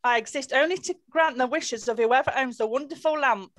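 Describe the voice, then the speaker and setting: A woman's voice: high-pitched, fairly quick, dry recording, natural voice. A woman playing an ancient, obliging genie of the lamp with grand, servile lines in an amateur pantomime reading. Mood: eager to serve. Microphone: computer mic on video call.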